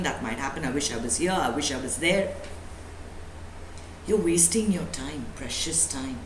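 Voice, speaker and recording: A woman speaking as a spiritual teacher, giving an emphatic motivational talk full of rhetorical questions and repetition. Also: lowish voice. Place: indoor room at a live talk. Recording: echoey room with faint background noise.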